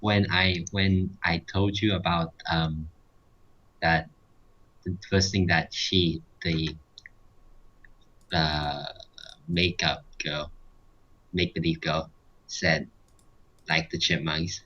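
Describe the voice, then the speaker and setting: A man telling a casual anecdote in a halting, repetitive, rambling way. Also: low-pitched, flat delivery, fairly bright tone, dull audio. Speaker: guest storyteller. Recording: noisy home mic, clicking and typing. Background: clicking, keyboard typing, background noise.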